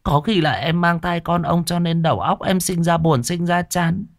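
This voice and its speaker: A man voicing a shrill, scheming middle-aged stepmother who screeches and wails. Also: normal speed, no room sound, studio quality, natural voice. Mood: wheedling. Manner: screeching, wailing, scolding.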